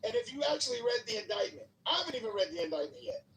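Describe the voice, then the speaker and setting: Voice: medium pitch, huge pitch swings, racing, creaky, a bit bright, reverberant. An irritated, worked-up speaker arguing insistently and repetitively in a heated group debate, with swearing. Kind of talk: heated debate in a live online group audio discussion. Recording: distant mic, noticeable background noise.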